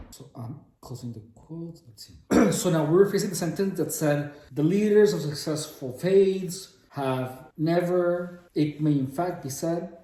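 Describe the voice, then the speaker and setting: Man, Mexican accent, slightly high voice, slow careful book reading, casual swearing, echoey room. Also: dark tone. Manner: reads slowly, stops to puzzle over words, swears casually. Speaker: book reader and explainer. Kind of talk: casual read-along of a book.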